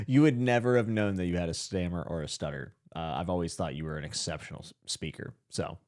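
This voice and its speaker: Laid-back low-voiced male podcast host. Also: fairly quick, darkish tone. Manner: rambling, self-deprecating, laughs often. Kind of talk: casual livestream chat.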